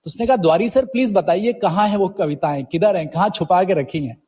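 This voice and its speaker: A man in his forties from Uttarakhand with a husky voice, telling his story conversationally as an interview guest, mixing in English words. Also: high voice, brisk, warm tone, big-room sound. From Uttarakhand, India. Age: 40s. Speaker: guest poet.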